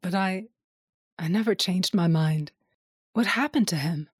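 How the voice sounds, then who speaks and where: Tender wife, unhurried, hesitant, earnest, audio drama, dry sound. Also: female voice, lowish voice, slightly rough, no echo. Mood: hurt. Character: tender, hesitant adult wife on a magical quest. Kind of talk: audio fairy-tale drama acting.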